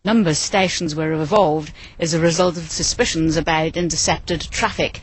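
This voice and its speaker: A woman explaining a subject as an interviewed expert, in measured talk. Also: sits low, fairly even intonation, husky.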